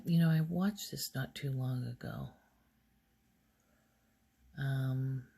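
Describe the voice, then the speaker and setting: A soft-spoken woman recording casual ASMR, rambling in a hushed voice and musing aloud as she goes. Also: very deep voice, vocal fry, breathy edge. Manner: hesitant, musing aloud, trailing off. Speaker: ASMR video creator.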